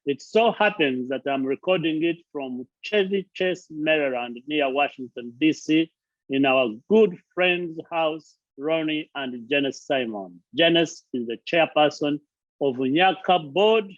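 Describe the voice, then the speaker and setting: A man's voice: fairly high pitch, unhurried, no echo, highs cut off. A man hosting his channel, giving an earnest motivational talk, stressing points by listing and repeating words. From Uganda. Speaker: charity founder and channel host. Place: friend's house, indoors.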